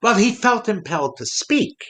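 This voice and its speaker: Gullible wooden dummy sidekick, bright husky voice, hesitant, easily amazed, comic audio play. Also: male voice, high-pitched, big pitch swings, normal speed, full character voice. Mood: sympathetic.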